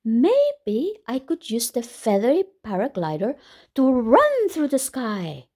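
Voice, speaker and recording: A woman with a high, very animated voice reads a picture book aloud for children. She is expressive, often exclaiming and drawing listeners in with questions as she comments on the story. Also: slow.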